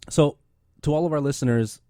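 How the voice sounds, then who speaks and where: A man's voice: on the high side, brisk, dark tone, clear, resonant tone, no echo. A man in his thirties hosting a community radio show in a studio, talking casually with many fillers and restarts. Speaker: radio host.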